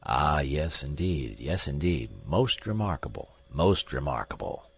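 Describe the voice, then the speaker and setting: A man voicing an absent-minded elderly professor who speaks softly and slowly, muttering to himself. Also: very deep voice, sing-song, creaky, slightly rough, voice pushed down, heavily acted. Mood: mild, muttering. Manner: soft, slow, mumbling to himself.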